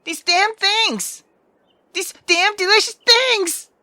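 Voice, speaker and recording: A man's voice: very high-pitched, slow pace, sharp tone, dry recording, pitched above the performer's own voice, full character voice. A man voicing a feisty, indignant young woman from a visual novel, sarcastic and dramatic. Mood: angry. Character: feisty, sharp-tongued young woman venting her grievances. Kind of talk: visual novel character reading.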